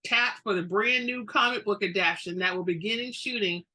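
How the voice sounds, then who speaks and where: An earnest young man in a storybook reading, acted by a woman. He is sweet and excitable, with a bright, forceful sound. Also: medium pitch, moderate pace. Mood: excited. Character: eager, sweet young great-grandson, adult man.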